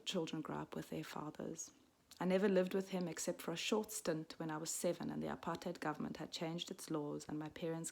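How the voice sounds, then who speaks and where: Woman, 40s, raised in Switzerland, gravelly creaky voice, soft half-whispered storytelling. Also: sits low. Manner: soft, slow, reflective, often half-whispered. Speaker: midwife and storyteller. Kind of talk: personal story read aloud.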